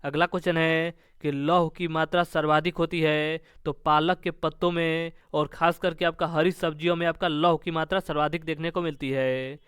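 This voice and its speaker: A man's voice: on the high side, level tone, moderate pace, few pauses, a bit bright, clear, resonant tone, no echo, clean studio sound. A man teaching an exam-prep lesson, reading out questions and answering each in a repetitive, formulaic way.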